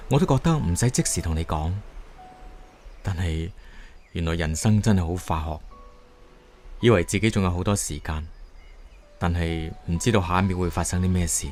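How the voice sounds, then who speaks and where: A man's voice: low voice, a little mellow, natural voice. An actor playing a tender, reserved young man in love in a radio drama, with a Hong Kong Cantonese accent.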